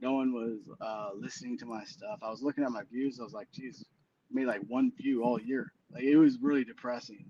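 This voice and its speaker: A man's voice: mid-range voice, fairly even intonation, fairly quick, dark tone, muffled recording, a little hiss or hum. A man in his forties, a guest on a casual chat show, talking in a rambling, hesitant way with many fillers and restarts. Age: 40s. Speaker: guest musician.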